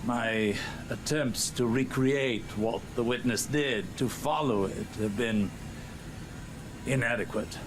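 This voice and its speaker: A man playing a grave, earnest scholar in a game, speaking in a measured, formal, cautious way. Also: mid-range voice, background noise, close to an everyday voice. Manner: measured, formal, hesitant in places. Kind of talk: dramatic game cutscene monologue. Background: music.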